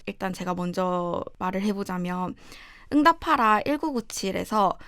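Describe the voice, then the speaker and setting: A woman's voice: mid-range voice, animated, moderate pace, a bit bright, clean tone, no room sound, clean studio sound. A woman, chatting cheerfully as a radio DJ. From Busan, South Korea. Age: 20s. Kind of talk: casual radio chat.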